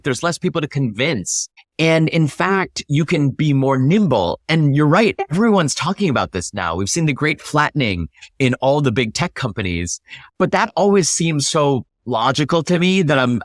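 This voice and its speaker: A man's voice: mid-range voice, dry recording, studio quality. A man speaking as an interview guest, explaining his thinking in an emphatic, conversational way with rhetorical questions. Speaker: interview guest, startup founder.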